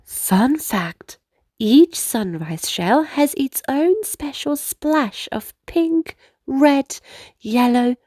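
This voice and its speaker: A woman reading a children's book aloud as narrator, in an expressive storytelling manner. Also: high-pitched, very slow, dry recording, clean studio sound. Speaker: storybook reader.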